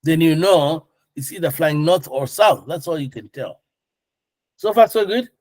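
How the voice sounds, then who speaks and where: Higher-pitched man teaching a class. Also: animated, a little slow, darkish tone, dry recording. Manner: informal, explanatory, checks understanding with questions. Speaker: instructor. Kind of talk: classroom lecture with questions to students.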